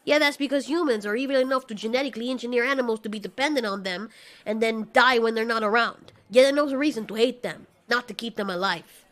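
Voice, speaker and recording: A woman's voice: fairly high pitch, fast talker, a bit bright, close to an everyday voice. A woman playing a brash, hot-tempered male flying monster in an audio drama, sarcastic, dismissive and foul-mouthed. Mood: scornful.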